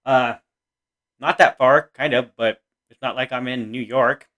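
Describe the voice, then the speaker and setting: An American man in his thirties giving casual reaction commentary in a halting, repetitive, earnest way. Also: mid-range voice, fairly even intonation, a bit bright, muffled recording. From Oregon, United States. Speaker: reaction video host. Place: small room indoors.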